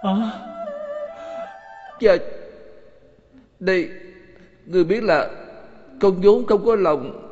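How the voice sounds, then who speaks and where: A man's voice: high-pitched, very slow, pauses often, darkish tone, soft background music. A man playing a humble, overwhelmed son in a dubbed cartoon, speaking earnestly with hesitations. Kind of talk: dubbed animation acting. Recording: muffled audio with long reverb.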